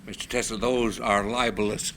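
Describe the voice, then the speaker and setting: A man's voice: on the low side, level tone, normal speed, fairly bright tone. A man playing a scornful, rich financier, curt and haughty, in a dramatic screenplay reading. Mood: angry, scornful. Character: imperious, scornful, rich male financier, hard-nosed businessman.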